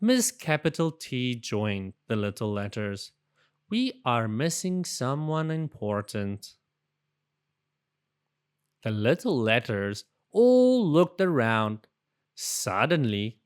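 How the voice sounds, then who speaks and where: A storybook narrator reads for young children with very lively intonation. The pace is slow and deliberate, and the voice is smooth and clear, made for expressive storytelling. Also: mid-range voice. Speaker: storybook reader. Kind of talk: animated children's read-aloud narration.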